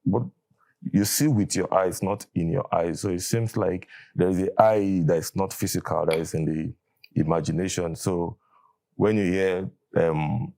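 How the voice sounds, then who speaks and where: A Nigerian man with a very deep, low voice, an Igbo speaker, appearing as an interview guest. He talks thoughtfully, pausing often and restarting his sentences as he works out his ideas. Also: steady tone, creaky, warm tone, rough recording. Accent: Nigerian English. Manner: thoughtful, hesitant, with fillers and restarts. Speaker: guest, catechist. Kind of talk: conversational interview.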